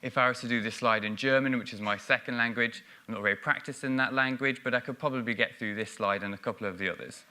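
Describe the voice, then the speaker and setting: A man's voice: medium pitch, steady tone, fast talker, few pauses, sharp tone. A man giving a business conference presentation on stage, explaining ideas with frequent ums and restarts. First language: English. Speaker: conference speaker.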